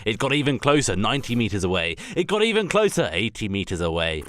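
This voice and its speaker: Animated man, theatrical comic narrator. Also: fairly low pitch, fast, slight background noise. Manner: theatrical, rambling, self-correcting. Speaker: podcast host narrating a story. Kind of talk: improvised comic radio play narration.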